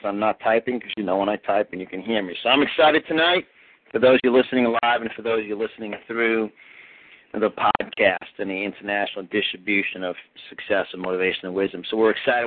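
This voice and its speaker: NY business coach, 40s, pumped-up motivational delivery, phone-line audio. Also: male voice, lowish voice. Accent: New York. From New York. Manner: energetic, punchy motivational delivery. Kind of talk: motivational teleclass talk.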